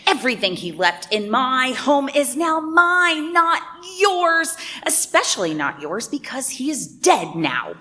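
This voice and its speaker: High, swooping, bitter radio host. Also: female voice, big pitch swings, unhurried, few pauses, pushed and bright, full character voice. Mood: indignant, bitter. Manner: theatrical, pointed. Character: bitter, insinuating female radio host, jealous older ex-wife. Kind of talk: dramatic radio play acting.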